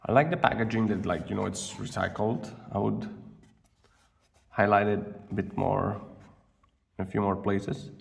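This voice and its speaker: A man with a lowish voice and heavy vocal fry talks through an unboxing. He is casual and unscripted, pausing as he thinks aloud. The mic sits at a distance, with faint noise behind him. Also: steady tone. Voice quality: gravelly, creaky. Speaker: vlogger presenting an unboxing.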